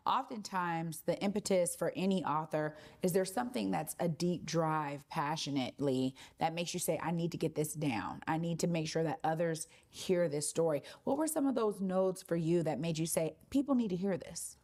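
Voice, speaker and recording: A woman's voice: on the low side, normal speed, hardly any pauses, darkish tone. A woman hosting a talk show, bubbly and enthusiastic, who laughs easily as she introduces and interviews guests.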